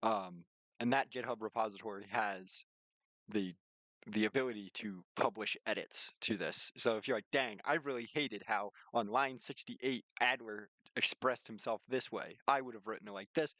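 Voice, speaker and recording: A man's voice: fairly low pitch, normal speed, pushed and bright, dry recording, rough recording. A man livestreaming in a casual, rambling, slangy way, chatting and explaining things informally on a close microphone. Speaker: live streamer and game developer.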